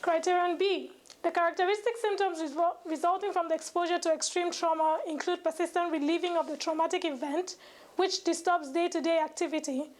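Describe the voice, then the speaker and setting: A woman's voice: very high-pitched, steady tone, brisk. A young woman, a medical student, giving a scripted educational presentation, reading formally and carefully with occasional stumbles. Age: young adult.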